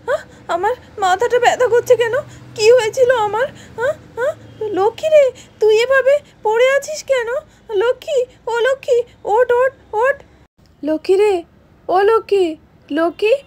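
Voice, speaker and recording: A woman's voice: very high voice, expressive, normal speed, a little mellow, voice pushed up. A woman voicing a fretful, anxious young mother in a doll-play story, plaintive and flustered. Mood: confused, distressed.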